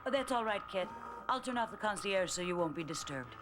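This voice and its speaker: An actress playing a protective, feisty older aunt with a firm manner in an animated series. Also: female voice, medium pitch, brisk, music in the background, lightly acted. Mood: kind.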